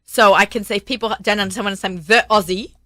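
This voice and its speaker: Bright-voiced woman radio host. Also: mid-range voice, steady tone, no room sound, clean studio sound. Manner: bubbly, quick, laughs often. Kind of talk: casual studio chat. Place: small cluttered radio studio. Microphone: studio mic with headphones.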